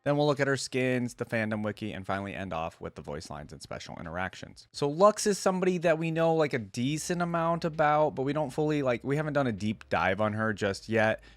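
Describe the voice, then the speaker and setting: A man's voice: medium pitch, expressive, no room sound, studio quality. A man hosting a reaction video, mixing read-aloud passages with casual, conversational commentary. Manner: conversational, pauses reading to comment.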